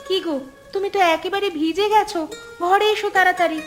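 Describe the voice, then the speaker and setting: A caring poor village mum in a cartoon, high plaintive voice, soft music under it. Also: female voice, steady tone, fairly quick. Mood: concerned. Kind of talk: cartoon folk-tale dialogue.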